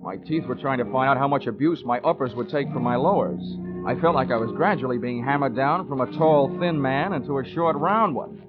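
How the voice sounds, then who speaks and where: A man's voice: mid-range voice, a little fast, few pauses, natural voice. A man playing a sardonic, breezy insurance investigator who narrates his own case in a 1940s radio drama. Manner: wry, breezy, wordy. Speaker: lead actor and narrator. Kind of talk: 1940s radio detective acting. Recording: muffled 1940s radio broadcast recording, music.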